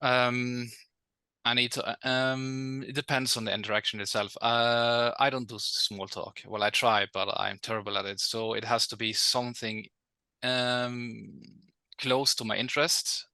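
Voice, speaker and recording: A man's voice: mid-range voice, flat delivery, unhurried, bright, forceful tone, smooth, no room sound. A man with a Norwegian accent whose native language is Norwegian, speaking hesitantly with many fillers as a podcast guest. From Norway.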